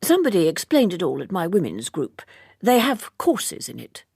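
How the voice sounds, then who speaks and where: A woman voicing a brisk, confident older aunt giving assured, matter-of-fact advice in an audiobook. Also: lowish voice, huge pitch swings, gravelly, darkish tone, slightly rough, no room sound, clean studio sound, full character voice.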